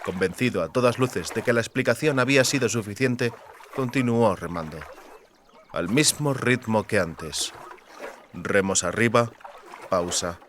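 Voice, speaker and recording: A man's voice: lowish voice, unhurried, a bit bright, slight background noise. A man reading scripted literary fantasy fiction as an audiobook narrator. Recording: clear water and boat ambience behind. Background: lapping water and rowing boat sounds.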